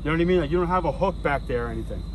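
Man, somewhat high voice, earnest, explaining, beach at night, heavy outdoor noise. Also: expressive, rapid-fire. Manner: earnest, coaching, shouts urgent commands. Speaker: bystander helping with the tow. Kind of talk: casual outdoor talk. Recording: loud outdoor noise, phone mic.

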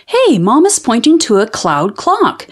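A woman's voice: a little high, huge pitch swings, unhurried, husky, full character voice. A woman voicing a playful little girl in a children's storybook, with an eager, singsong delivery. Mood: pleased. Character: bright, playful little girl playing a guessing game.

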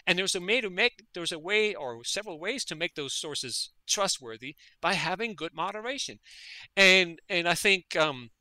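Man, from Denmark, interview guest, earnest, restarts his sentences, very bright tone, dry close recording. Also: high voice, expressive, moderate pace, no room sound. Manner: earnest, repeats words while thinking. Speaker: guest, veterinary parasitologist. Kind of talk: conversational interview.

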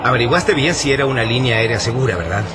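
An actor playing a nervous reporter who is terrified of flying, hesitant and anxious, in a radio drama. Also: male voice, medium pitch, animated, fast talker, heavy background noise, natural voice. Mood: panicky.

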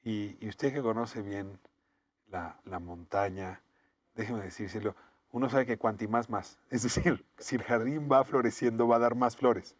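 A man's voice: fairly low pitch, steady tone, a little slow, no room sound. Middle-aged man hosting a TV interview. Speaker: interview host. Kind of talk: TV studio interview. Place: indoor TV studio set. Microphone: lapel.

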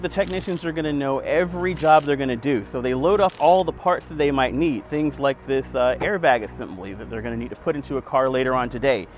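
A young man hosting a car show, presenting to camera in an upbeat, conversational way with light jokes, speaking outdoors and from a moving car. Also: on the high side. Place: outdoors in parking lots and inside a moving car. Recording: voice with music bed underneath. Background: background music.

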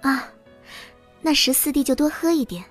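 A woman playing a meek, demure young princess consort in an audio drama, soft-spoken, careful and deferential. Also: sits high, expressive, normal speed, faint music behind. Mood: demure, polite.

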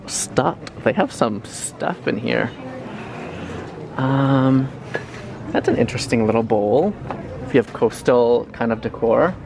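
A young man chatting casually to a handheld camera, gushing excitably over what he finds in a car and in stores. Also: mid-range voice, gravelly, background noise. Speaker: vlogger. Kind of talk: casual vlog chat to camera. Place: car, showroom and store, indoors. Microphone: handheld camera at arm's length.

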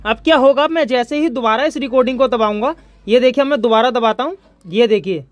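A man's voice: very high voice, brisk. A man from India, presenting an informal hands-on electronics tutorial as its host.

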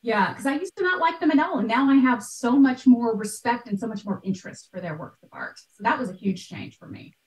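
A woman's voice: fairly high pitch, expressive, a little fast, hardly any pauses. A talkative woman, likely in midlife, explaining things emphatically in a conversational interview over a video call. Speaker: podcast host and art historian, interview guest. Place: small bright room at home. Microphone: computer mic on a video call. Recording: roomy echo, distant mic, video call.

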